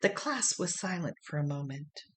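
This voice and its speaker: A woman reading a children's picture book aloud as an expressive, unhurried storyteller. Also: low-pitched. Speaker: storybook reader.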